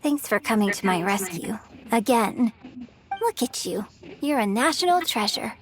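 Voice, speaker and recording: Casting: young stage celebrity for a game, high lively voice, polite, composed, gracious. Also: female voice, moderate pace. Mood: relieved, grateful. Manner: courteous, composed, apologetic phrasing. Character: famous young female opera performer, gracious and polite. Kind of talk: video game voice acting.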